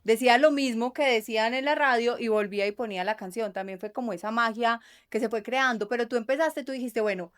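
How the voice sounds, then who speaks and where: A woman with a Colombian accent hosting a casual interview, engaged and quick to interject with follow-up questions. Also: a little high. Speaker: interviewer, host.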